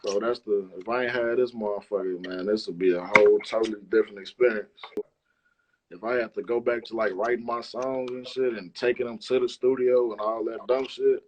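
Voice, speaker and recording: A man's voice: fairly low pitch, fairly even intonation, fairly quick, slight background noise, poor audio. A man chatting casually as an interview guest, using a lot of slang and swearing. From Chicago, United States. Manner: slangy, profane. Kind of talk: casual chat.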